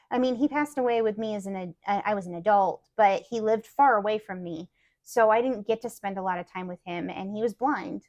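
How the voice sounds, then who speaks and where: A woman's voice: medium pitch, no echo. A woman talking casually and at length, her thoughts looping through fillers and self-corrections. Her voice is slightly worn, as if she is losing it. The sound is dry and close, with no room around it. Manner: rambling, many fillers and restarts. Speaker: podcast co-host. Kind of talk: casual chat about cross-stitch projects.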